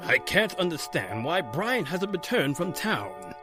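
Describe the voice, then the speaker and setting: An actor playing a solemn, authoritative older man in old-time radio horror, measured and portentous. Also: fairly high pitch, very animated, music in the background. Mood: weary, courteous.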